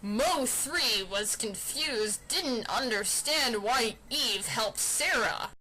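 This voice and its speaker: A woman's voice: on the high side, animated, slow, sharp tone, rough recording. A woman doing an amateur, playful mock-dramatic story reading with casual asides. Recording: faint fan noise behind.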